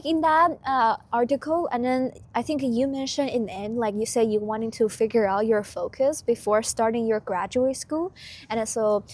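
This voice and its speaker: A woman's voice: on the high side, normal speed, a little mellow. Giggly young woman hosting a podcast. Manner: hesitant phrasing, frequent little laughs. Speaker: student podcast host. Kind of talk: casual interview chat.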